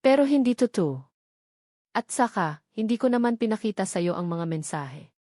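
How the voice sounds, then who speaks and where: A woman's voice: medium pitch, lively intonation, moderate pace, mellow, no echo, clean studio sound, lightly acted. Woman reading as an evasive, caring-seeming male friend. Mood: defensive, evasive. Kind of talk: dramatic storybook dialogue.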